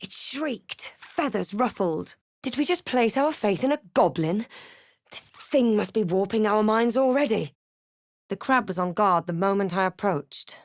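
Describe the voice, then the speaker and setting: A woman playing a snarky, brave adventurer spellcaster in a fantasy video game, speaking in a theatrical, wry and deliberate way. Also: medium pitch, expressive, no echo. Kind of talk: video game voice lines, dramatic narration and spell chants.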